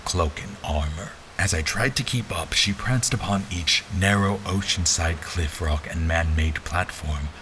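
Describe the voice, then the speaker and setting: A man playing a troubled, sardonic general who narrates his memories in literary, deliberate audio-drama prose. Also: deep voice, lightly acted. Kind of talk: dramatic scripted first-person narration. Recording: music bed under narration.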